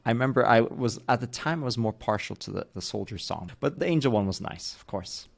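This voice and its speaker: A man's voice: medium pitch, expressive, a little fast, gravelly. A man in his thirties who grew up in the United States, telling stories thoughtfully and rephrasing carefully as a podcast co-host. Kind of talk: reflective conversational podcast.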